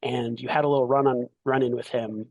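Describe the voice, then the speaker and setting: A man acting as game master, narrating a tabletop game in a casual way with a halting, deliberate delivery. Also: mid-range voice, steady tone, darkish tone, no echo, lo-fi sound.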